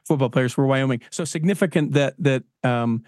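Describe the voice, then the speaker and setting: A man's voice: medium pitch, normal speed, a little mellow, no echo, studio quality. A man hosting a podcast interview, talking enthusiastically and rambling with many restarts. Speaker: podcast host. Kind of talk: casual interview.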